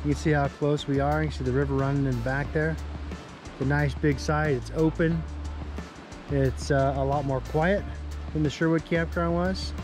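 A man's voice: a little high, fairly even intonation, normal speed, over music. A man in his forties filming an outdoor camping vlog, narrating and coaching with enthusiasm at a lake and campsite. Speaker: vlogger. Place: outdoors at a forest lakeside and campsite.

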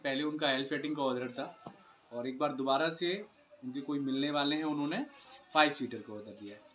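A man's voice: on the high side, a bit bright. A young man with a Hindi accent from Uttar Pradesh shows sofas in his workshop, explaining politely and at length. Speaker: sofa maker showing his work. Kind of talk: casual showroom talk to camera. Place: cluttered indoor workshop.

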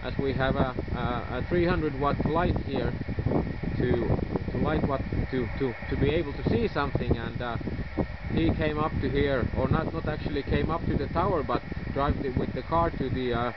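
A man with a Finnish accent chatting over amateur radio in a halting way, repeating words as he goes. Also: mid-range voice. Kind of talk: unscripted radio contact chat. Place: open viewing tower at night, outdoors. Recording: muffled, very noisy outdoor field recording.

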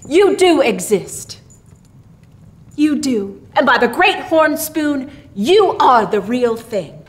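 A woman's voice: high-pitched, very animated, slow, full character voice. A woman voicing a jovial, well-to-do father in a storybook, speaking formally and with mock-grand emphasis. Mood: apologetic, hearty. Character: Mister Harrison, grave, jovial well-to-do father.

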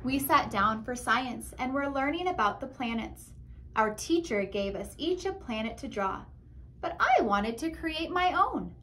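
A woman reads a children's story expressively, her pitch high and swinging widely. There is faint classroom noise in the background. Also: lively intonation, a little slow, fairly bright tone. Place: classroom with windows, indoors.

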